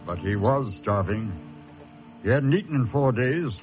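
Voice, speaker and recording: An actor playing a brooding, ominous storyteller, delivering dramatic scripted narration with deliberate pauses. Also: male voice, medium pitch, expressive, close to an everyday voice. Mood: suspenseful. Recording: muffled radio recording, loud music behind.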